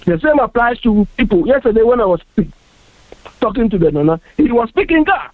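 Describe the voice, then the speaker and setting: Man, Ghanaian accent, radio panel guest, emphatic, animated, tinny phone-like audio. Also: extremely high pitch, a little fast, no echo. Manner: earnest, emphatic, repeats himself.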